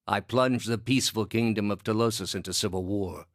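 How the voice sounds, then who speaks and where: A male actor plays an ancient fantasy-game villain, a zealous inquisitor with a deep, low voice in a close, dry recording. He speaks in measured, formal phrases. His manner is cold, imperious and condescending, as if passing judgment. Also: no echo, lightly acted. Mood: solemn, unrepentant. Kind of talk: dramatic fantasy video game voice acting.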